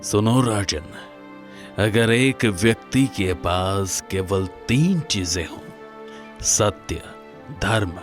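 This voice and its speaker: A man voicing a wise old forest sage in a cartoon moral story, speaking in grave, deliberate pronouncements. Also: deep voice, voice pushed down. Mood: solemn, questioning.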